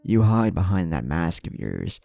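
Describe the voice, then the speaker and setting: Male narrator as cocky teenage ninja, taunting, deliberate, very deep, mellow, audio story. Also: big pitch swings, vocal fry, no echo, exaggerated voice. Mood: taunting, menacing. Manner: mocking, deliberate, rhetorical.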